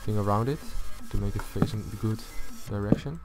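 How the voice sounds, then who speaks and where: Man, low voice, casual tutorial host, unscripted thinking aloud, prominent background music. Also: fairly quick. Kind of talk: casual gaming tutorial commentary. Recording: music behind the voice. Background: loud music.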